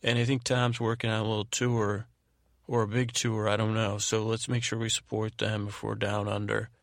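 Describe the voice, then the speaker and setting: Gravelly, creaky-voiced man rambling along. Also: lowish voice, dry recording, clean studio sound. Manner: meandering, halting, repeats and rephrases himself. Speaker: podcast host. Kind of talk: rambling bedtime monologue and thank-you announcements.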